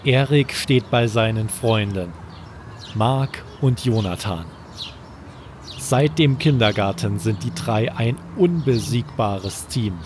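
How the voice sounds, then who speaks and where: A man narrating a scripted children's bedtime story in a measured, deliberate manner. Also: medium pitch, background noise.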